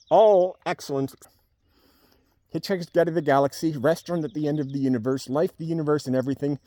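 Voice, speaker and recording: A man's voice: mid-range voice, mellow, no room sound. A man talking casually and spontaneously to camera in a rambling, wry, jokey way, like a vlogger giving a review. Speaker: video blogger giving a book review. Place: on a farm among cows.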